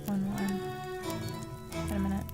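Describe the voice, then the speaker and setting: A woman's voice: mid-range voice, completely flat delivery, measured pace, over loud music. A woman giving casual gameplay commentary, muttering and puzzling things out to herself. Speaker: game streamer.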